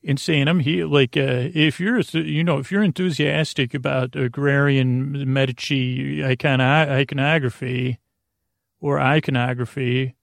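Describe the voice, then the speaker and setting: Creaky-voiced, rambling man. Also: medium pitch, fairly even intonation, dry recording, clean studio sound. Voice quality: gravelly, creaky. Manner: halting, meandering, repeats and trails off mid-thought. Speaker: podcast host. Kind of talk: rambling bedtime podcast monologue.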